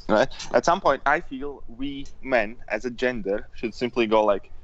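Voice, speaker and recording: A man's voice: mid-range voice, moderate pace, lo-fi sound. A man chatting casually on a live stream, blunt, profane and opinionated. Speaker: guest co-host on a live stream. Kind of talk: casual ranting chat.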